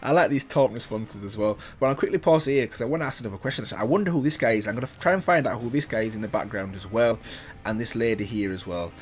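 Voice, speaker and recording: A man's voice: mid-range voice, quick pace. A man hosting a reaction video, thinking aloud in casual commentary with frequent restarts and self-corrections.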